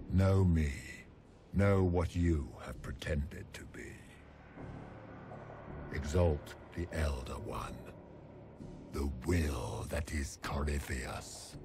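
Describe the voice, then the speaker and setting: An actor voicing a towering ancient darkspawn magister, imperious and menacing, with grandiose, archaic declaiming and a resonant voice in a video game. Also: male voice, extremely low pitch, noisy background, full character voice. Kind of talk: dramatic video game villain acting.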